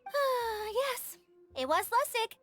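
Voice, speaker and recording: Bright, high, earnest young caretaker. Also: female voice, expressive, slow, full character voice. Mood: apologetic. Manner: earnest, flustered. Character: anxious, dutiful young female caretaker of a domain. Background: game music.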